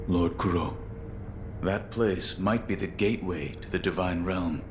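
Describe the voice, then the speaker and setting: Grim loyal ninja, very deep voice, solemn, clipped formal phrasing, video game cutscene. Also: male voice, measured pace, background noise. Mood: solemn, resolute. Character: stoic adult male shinobi, loyal and grim. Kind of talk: dramatic game cutscene acting.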